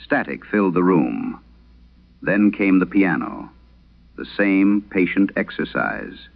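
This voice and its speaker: An actor playing an aging, haunted priest, giving a slow, solemn confession in a grave, measured horror radio monologue. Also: male voice, low voice, animated, vocal fry, exaggerated voice. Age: older. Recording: muffled vintage radio sound.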